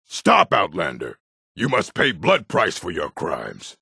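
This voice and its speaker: An actor voicing a stern, proud orc stronghold warrior in a video game, gravelly and husky, blunt and commanding. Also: male voice, low voice, wildly swooping pitch, slow, bright, forceful tone, no room sound, clean studio sound, exaggerated voice. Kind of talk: video game character voice acting.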